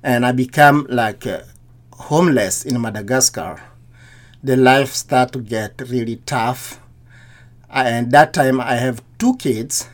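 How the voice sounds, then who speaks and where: Slow-speaking middle-aged man with Congolese accent. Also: mid-range voice. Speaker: nurse, interview guest. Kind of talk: personal storytelling.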